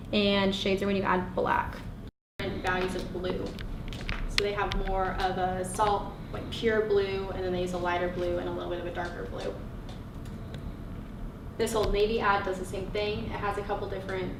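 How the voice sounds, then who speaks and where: A woman's voice: mid-range voice, noisy background. A woman instructor teaching a college class, upbeat and encouraging, explaining step by step. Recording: roomy classroom recording from a distance.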